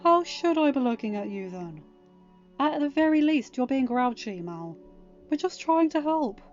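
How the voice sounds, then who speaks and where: A woman's voice: high voice, big pitch swings, moderate pace, pitched above the performer's own voice. A woman voicing a blunt, stubborn young woman in an audiobook, a worried and bossy cousin who challenges. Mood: confrontational. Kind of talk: acted audiobook dialogue. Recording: dull lo-fi home recording, music underneath.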